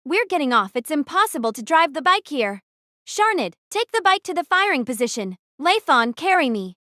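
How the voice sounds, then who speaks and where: A commanding young female captain , played by a woman in a fan audio drama, giving crisp, decisive orders. Her voice sits high and lively, with a bright, sharp edge. The recording is dry and close. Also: moderate pace, dry recording, heavily acted.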